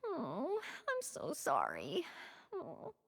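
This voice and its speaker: An eager young maid knight from a video game. The voice is high and very animated, with a polite, dutiful sincerity as it explains things. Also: female voice, extremely slow, heavily acted. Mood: apologetic. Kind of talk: video game character dialogue.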